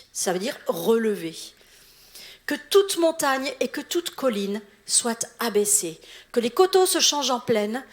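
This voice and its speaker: A middle-aged woman from Alsace, a visiting church official, preaching a sermon in a chatty way and laughing at her own slips. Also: a little high. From Alsace, eastern France. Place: church hall.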